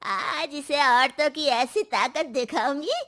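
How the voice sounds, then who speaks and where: A fearless old mother-in-law from a cartoon story scolds and boasts with total confidence. Her voice is bright and high, and she takes her time with every jab. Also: slow pace. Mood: defiant. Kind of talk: cartoon voice acting.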